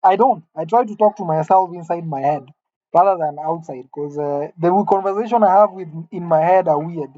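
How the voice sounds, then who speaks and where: A man's voice: high voice, brisk, no echo, narrow, tinny audio. A man in his late twenties musing aloud to the camera in a wry, rambling, self-mocking way, alone in an empty room. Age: late 20s. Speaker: vlogger. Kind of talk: musing solo monologue to camera.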